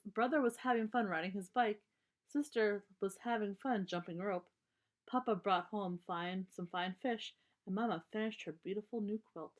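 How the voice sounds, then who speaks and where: A woman reads a children's storybook aloud in a medium-pitched voice. Her delivery is a little halting, and she now and then goes back to correct a word. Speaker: storybook reader.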